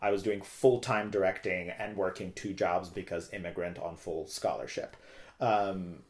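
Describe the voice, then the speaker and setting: A man's voice: deep voice, fairly even intonation, moderate pace. A talkative middle-aged man from Turkey, telling personal stories casually as an interview guest. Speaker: interview guest, theater director.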